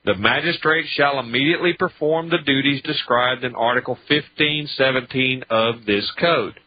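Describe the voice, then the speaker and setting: A man's voice: medium pitch, moderate pace. An American man giving methodical, emphatic legal commentary as a talk radio presenter, spelling out each point step by step. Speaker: radio show commentator on traffic law. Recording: dull lo-fi talk radio audio.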